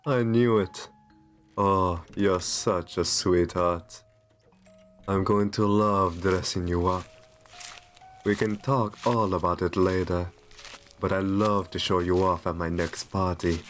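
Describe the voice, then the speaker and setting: Guy doing a kind posh vampire master for asmr, really deep and slow, doting, music behind. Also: fairly even intonation. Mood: tender, reassuring. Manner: gentle, reassuring, genteel endearments. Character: kind, doting aristocratic male vampire, new owner. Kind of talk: ASMR roleplay, scripted intimate monologue.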